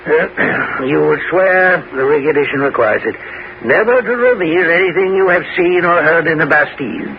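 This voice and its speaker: An actor playing a fussy, affable French prison governor in a 1970s radio drama, with a flustered, stammering delivery. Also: male voice, a little high, normal speed, lightly acted. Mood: flustered, reluctant. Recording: dull, faintly noisy old radio recording.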